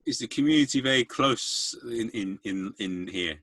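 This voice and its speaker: A man's voice: mid-range voice, normal speed, a bit bright, slightly rough, dry recording. A man hosting a podcast, asking curious follow-up questions in a casual interview chat. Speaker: podcast host.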